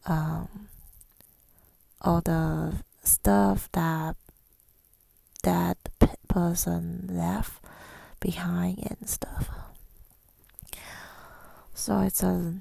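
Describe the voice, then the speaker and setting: A woman telling a personal story in ASMR style, softly and breathily, often near a whisper, with a halting, reflective delivery. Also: fairly low pitch, little pitch movement, vocal fry, no room sound. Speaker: ASMR creator.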